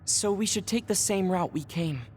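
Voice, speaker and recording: An actor playing a serious, formal young man in a game cutscene, speaking in a measured, polite way. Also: high voice, darkish tone, a little hiss or hum, natural voice. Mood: composed. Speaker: game character. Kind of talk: dubbed game cutscene dialogue. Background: game music.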